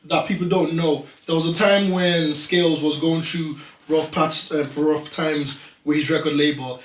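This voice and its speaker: An assertive man holding his ground in a lively group discussion. His voice sits a little higher than most men's. He sounds set back from the mic in a roomy, echoey space. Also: normal speed, a little hiss or hum. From Nigeria. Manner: assertive, talks over others to make his point. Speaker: talk show guest. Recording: roomy studio, voice heard from a distance.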